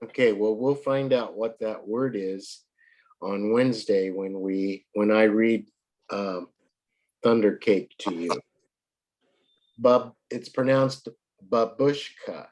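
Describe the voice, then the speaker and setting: A man tutoring a child in English, patient and a little hoarse from a cold, often clearing his throat. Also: fairly low pitch, slow, darkish tone, poor audio. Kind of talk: online one-to-one lesson.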